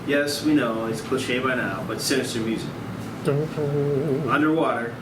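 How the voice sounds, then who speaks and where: A man narrates a screenplay's stage directions. He has a medium-pitched voice, recorded away from the mic. The room is very noisy. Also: moderate pace. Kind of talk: scripted narration at a table read. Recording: distant, echoey, very noisy room.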